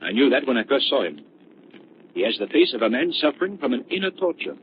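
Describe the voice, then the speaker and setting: Tinny-sounding, expressive gentleman detective. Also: mid-range voice. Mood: grave. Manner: deliberate, dramatic, authoritative. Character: confident, astute gentleman detective, grave and deliberate. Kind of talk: 1930s radio drama acting. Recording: tinny, noisy 1930s radio recording.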